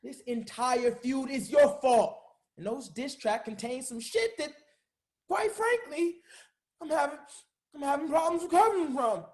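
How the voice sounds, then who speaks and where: A man's voice: extremely high pitch, measured pace. An actor playing a vain, hot-tempered, aggrieved male rapper in a comedy table read. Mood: indignant.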